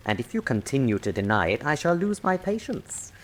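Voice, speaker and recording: An actor playing a suave, menacing colonel in a radio drama, articulate and mockingly courteous. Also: male voice, mid-range voice, big pitch swings, brisk, slight background noise, lightly acted. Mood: quietly threatening. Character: suave menacing colonel, a crime lord's lieutenant.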